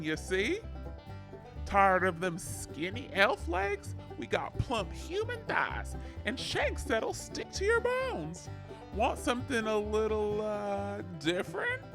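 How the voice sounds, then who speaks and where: Creaky Southern troll butcher. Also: female voice, on the high side, full character voice. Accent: rural Southern American. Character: folksy, sinister rural troll butcher in an advert. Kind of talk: folksy commercial parody.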